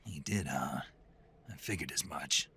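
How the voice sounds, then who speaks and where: Game hero, male soldier: very deep, extremely husky, gruff, wry and weary. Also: unhurried, sharp tone, heavily acted. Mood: wry, tense. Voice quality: gravelly, husky. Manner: wry, terse. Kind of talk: dramatic video game voice acting.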